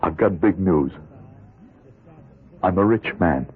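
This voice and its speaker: An actor playing a bitter, desperate middle-aged ex-convict crook in an old radio drama, with a husky voice. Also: male voice, deep voice, expressive, slow, full character voice. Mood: urgent, haunted. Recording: muffled, narrow-band old radio recording.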